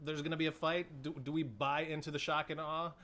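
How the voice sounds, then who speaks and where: Guy with a kinda high voice on a podcast, playful, keeps asking questions. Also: level tone, fast, bright, forceful tone, slight background noise. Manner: playful, asks probing questions. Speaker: podcast co-host and speech coach. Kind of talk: casual recap chat.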